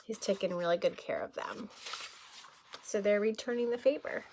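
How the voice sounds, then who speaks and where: A woman reading a picture book aloud as a kindergarten teacher, gently and expressively, with questions to the listeners. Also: mid-range voice, normal speed, noisy background.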